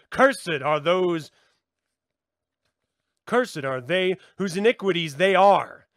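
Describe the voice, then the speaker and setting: A man gives a solemn, theatrical reading in a bright, forceful voice with a gravelly grain. He declaims each phrase slowly and deliberately, like an incantation. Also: on the high side, no room sound, studio quality. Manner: theatrical, declaiming, swelling from subdued to shouted. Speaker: reader of a book aloud. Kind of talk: dramatic incantatory reading aloud.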